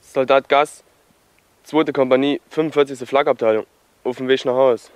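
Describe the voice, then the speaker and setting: A man's voice: mid-range voice, fairly even intonation, normal speed, no room sound, natural voice. A man playing a nervous young soldier, dry sound. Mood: dutiful, nervous. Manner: military, respectful, pleading. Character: frightened young male German soldier, deferential. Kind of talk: radio play acting.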